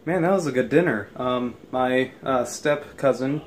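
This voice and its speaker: A man's voice: medium pitch, unhurried, loud music behind the voice. A young man vlogging his day to a camera held at arm's length, stuffed up from allergies, rambling with many ums and restarts. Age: young adult. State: congested from allergies. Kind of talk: casual daily vlog. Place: indoors in a house, also outdoors by the house. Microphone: handheld camera at arm's length.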